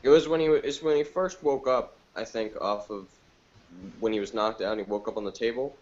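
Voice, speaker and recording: A man's voice: mid-range voice, steady tone, a little fast, a little mellow, highs cut off. A man giving his view in a casual podcast review discussion.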